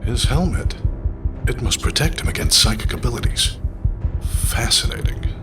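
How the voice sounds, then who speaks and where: An actor playing a brainy, articulate young student scientist in an audio drama, precise and explanatory. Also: male voice, mid-range voice, normal speed, music in the background, lightly acted. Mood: intrigued.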